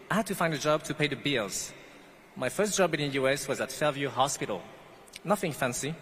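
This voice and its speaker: A man's voice: a little high, a bit bright, a little hiss or hum. Man speaking in an echoey hall. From French Guiana. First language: not English. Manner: earnest storytelling. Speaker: commencement speaker. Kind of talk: prepared speech. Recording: echoey hall, faint ambience.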